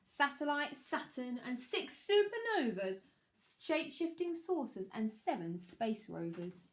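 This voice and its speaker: Slow, expressive woman reading a storybook. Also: high voice. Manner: expressive, dramatic emphasis on rhyming lines. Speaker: primary school teacher reading aloud. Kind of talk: children's picture book storytelling.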